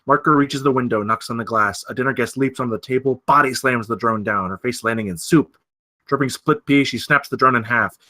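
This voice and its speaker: A man's voice: medium pitch, a little fast, sharp tone. A man narrating screenplay stage directions in a dramatic, scripted style at a table read.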